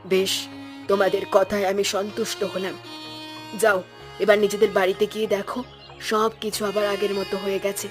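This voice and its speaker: A woman's voice: medium pitch, level tone, brisk, pauses often, natural voice. A woman playing a stern, principled old village woman in a fairy-tale cartoon, speaking in a firm, moralizing way. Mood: earnest, forgiving. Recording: music behind the voice. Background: background music.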